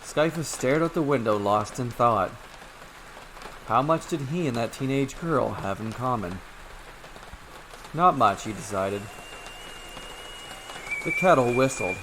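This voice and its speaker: A man narrates a short fairy tale in a steady, even reading voice at a middle pitch. A faint hiss of rain noise sits underneath the voice. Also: takes it slowly, pauses often, poor audio. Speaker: storyteller. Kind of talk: scripted story narration.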